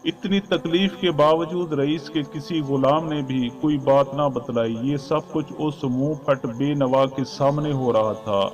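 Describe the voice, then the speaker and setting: A man telling a scripted moral story as a host, in a formal, measured way that addresses listeners directly. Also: a little high, a little mellow. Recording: muffled lo-fi voice over music. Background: background music.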